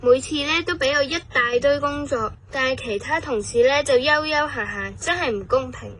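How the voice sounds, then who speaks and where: A woman's voice: sits high, fairly quick, fairly bright tone, a little hiss or hum. A woman playing a grumbling office worker. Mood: resentful. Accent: Cantonese. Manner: grumbling, indignant. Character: resentful young office worker soldier.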